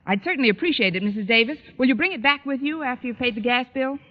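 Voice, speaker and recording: An actress playing a quick-witted high school teacher in an old-time radio comedy, delivering dry, sardonic wisecracks. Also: female voice, lightly acted. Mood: wry. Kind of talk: 1940s-50s radio comedy acting. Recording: muffled old radio recording.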